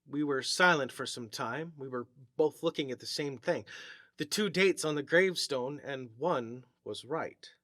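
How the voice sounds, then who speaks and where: A man reading an eerie classic short story aloud as scripted narration, with theatrical, deliberate phrasing. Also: mid-range voice, fairly bright tone, no room sound, studio quality. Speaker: story reader.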